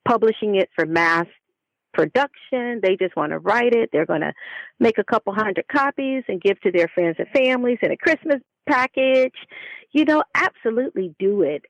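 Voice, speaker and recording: A woman's voice: on the low side, no echo, rough recording. A woman giving reflective interview answers as a guest author, speaking in an earnest, emphatic and devout way.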